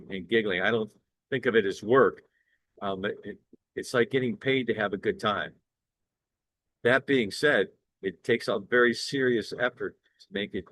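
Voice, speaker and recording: An older man from Cleveland with a bass baritone voice, pitching his work as an event singer in an upbeat, earnest way. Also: fairly bright tone, no echo, poor audio. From Cleveland, Ohio, USA. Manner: upbeat, earnest salesman talk with small restarts. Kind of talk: promotional direct-to-camera pitch.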